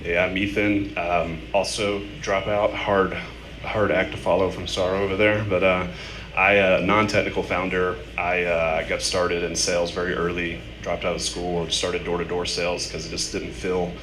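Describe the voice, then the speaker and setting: Young male panelist, casual choppy phrasing, echoey venue with clear background noise. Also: sits low, little pitch movement, normal speed, a bit bright. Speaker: startup founder on a panel. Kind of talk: casual personal story on a panel. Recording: echoey noisy room, live event microphone.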